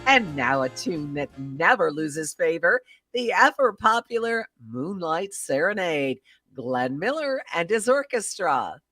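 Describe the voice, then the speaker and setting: A woman's voice: on the low side, sing-song, unhurried, fairly bright tone. A woman playing a formal, showy announcer introducing dance music in a 1930s-style radio drama. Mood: gracious, showy. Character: gracious female dance-band radio announcer.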